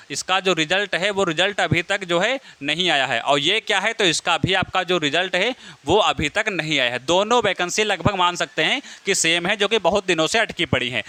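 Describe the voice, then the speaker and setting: A man's voice: high-pitched, bright, forceful tone, smooth. A man giving an informal explanatory lesson as an exam-prep teacher, often circling back to repeat his phrases.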